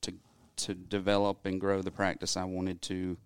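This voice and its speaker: A man about fifty, a dentist guest, answering in a halting, earnest way with many fillers. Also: deep voice, monotone, clean tone. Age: 50s. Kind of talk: casual interview answers.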